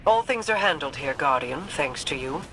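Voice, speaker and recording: Game role: composed, commanding queen, formal and measured, clear ambient noise behind. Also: sits low, animated. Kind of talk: video game voice acting.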